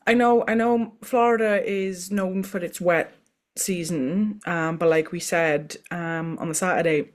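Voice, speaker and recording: A woman's voice: on the low side, fairly even intonation, measured pace. A Welsh woman co-hosting a casual podcast chat, who talks freely and often trails off mid-sentence. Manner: chatty, trails off and restarts. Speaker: podcast co-host.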